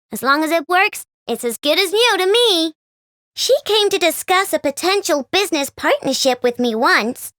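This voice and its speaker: A woman voicing an excitable, chatty young female inventor who builds robots. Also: very high voice, no room sound, studio quality, exaggerated voice. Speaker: game character voice. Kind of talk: recorded game voice lines.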